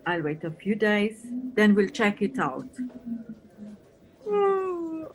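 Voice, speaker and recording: A woman's voice: mid-range voice, wildly swooping pitch, slow, poor audio. A woman acting in an audio play as an irritable, weary Flemish police officer, dismissive and sardonic. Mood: exasperated, scornful. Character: gruff, irritable female police officer from Flanders, weary, scornful of tourists.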